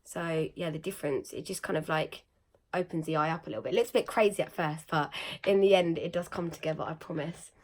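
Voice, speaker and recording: A young woman with a croaky, slightly nasal voice after being ill, chatting casually through a makeup tutorial with lots of fillers. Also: low voice, fast talker. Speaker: beauty vlogger.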